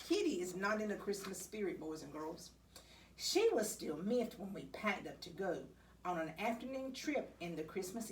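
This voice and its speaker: A woman reading a children's picture book aloud, with dramatic, expressive delivery and deliberate pauses. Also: medium pitch. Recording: distant mic, faint background noise.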